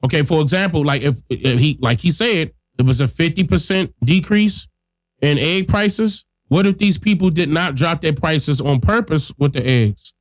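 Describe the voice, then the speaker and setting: A man's voice: a little high, moderate pace, dry recording. A man hosting a podcast, giving blunt, emphatic casual commentary straight to his listeners while getting over a cold that leaves him stuffy-nosed. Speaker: podcast host.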